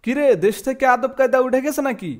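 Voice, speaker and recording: A man's voice: very high-pitched, fast. A man voicing a bossy, crooked beggar chief in a cartoon, pompous and speechifying, with a Bangladeshi accent. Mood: suspicious. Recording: music behind the voice.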